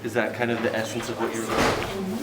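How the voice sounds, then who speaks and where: A man's voice: medium pitch, lively intonation, fairly quick. A male teacher hosting a casual classroom Q&A, encouraging and calling on children by name. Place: indoor event room with crowd. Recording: echoey room, loud background noise.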